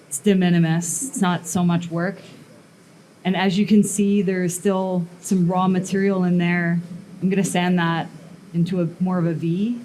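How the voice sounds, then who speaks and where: A woman's voice: on the low side, warm tone, slight background noise, poor audio. A woman blacksmith and sculptor giving a live workshop demonstration to an audience, talking casually and thinking aloud with fillers and restarts. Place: metal workshop at a smithing event.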